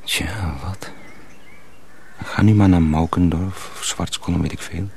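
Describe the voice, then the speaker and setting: A man playing a sly young miller's apprentice who poses as a simpleton, speaking confidingly and deliberately in a radio drama. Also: low voice, fairly even intonation, creaky, a little mellow, exaggerated voice. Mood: secretive, urgent. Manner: deliberate, hesitant, confiding, repeats himself. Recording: faint background noise.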